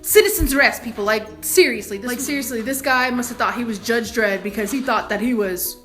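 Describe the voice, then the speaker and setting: High, bright-voiced young woman telling a story. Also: fairly quick, soft background music. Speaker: vlogger telling a personal story. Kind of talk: casual animated storytelling.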